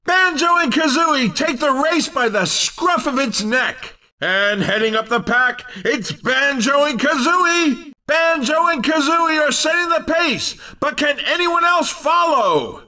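An actor playing an exuberant, cheeky race commentator in a video game, with a hyped, theatrical delivery. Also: male voice, extremely high pitch, lively intonation, unhurried, pushed and bright, recorded from a distance, exaggerated voice. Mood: excited. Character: exuberant, cheeky male arcade racing commentator.

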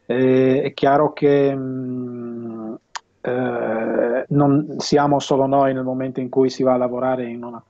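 A man in his fifties talking casually in an interview, often pausing mid-sentence as he explains things. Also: medium pitch, vocal fry. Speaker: audio system installer and dealer being interviewed. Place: home room with bookshelves, indoors. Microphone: earphone headset on a video call. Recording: dull, lo-fi video call audio.

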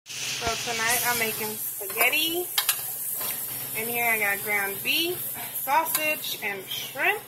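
A young woman talks to her phone camera in a chatty, rambling way, her voice sitting a little high. The recording is noisy, with a clear hiss under her voice. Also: animated, slow pace, long pauses. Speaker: vlogger. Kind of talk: casual family vlog. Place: home bedroom and parked car at night. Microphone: phone camera at arm's length.

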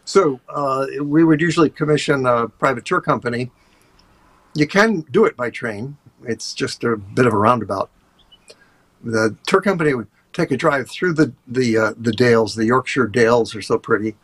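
An older man, a tour guide, chatting over a video call in a relaxed, rambling way with many fillers. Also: mid-range voice, normal speed. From USA. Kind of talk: casual interview talk. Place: home office, indoors.

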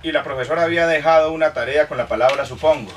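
A man's voice: a little high, fast talker. A man from Colombia, a guest singer chatting casually in a radio studio and telling jokes. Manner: joking, tells jokes with acted voices. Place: busy radio studio, indoors. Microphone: studio mic with headphones, picked up from a distance. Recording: distant mic, noisy room.

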